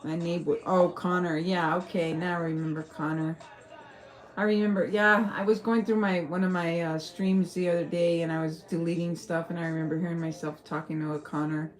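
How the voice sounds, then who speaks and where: A woman's voice: on the low side, little pitch movement, fairly quick. A woman from El Paso with a Texan accent, chatting casually on a livestream, rambling and swearing freely. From El Paso, Texas. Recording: faint music behind.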